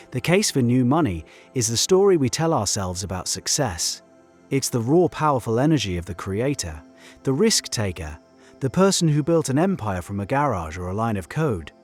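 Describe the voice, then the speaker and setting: A man delivering scripted video-essay narration in measured, rhetorical short phrases. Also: medium pitch. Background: background music.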